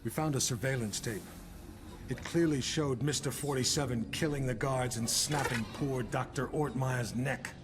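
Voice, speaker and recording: An actor playing an embittered, proud older intelligence chief in a video game cutscene, speaking with deliberate, sardonic authority. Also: male voice, medium pitch, fairly even intonation, background noise, lightly acted. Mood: grave, explanatory.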